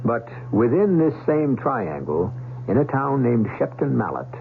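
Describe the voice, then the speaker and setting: A man narrating an old time radio mystery as host, deliberate and portentous. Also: medium pitch, big pitch swings, creaky, a little hiss or hum. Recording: tinny old radio recording, music behind.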